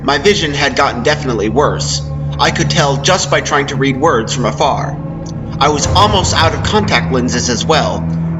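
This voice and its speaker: A man's voice: mid-range voice, rough recording. A man narrating a first-person horror story as a dramatic reading. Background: background music.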